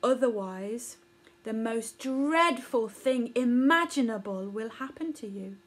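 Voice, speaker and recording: A woman voicing a gentle, wise mother elephant in a children's storybook, explaining things solemnly. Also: fairly high pitch, animated, slow pace.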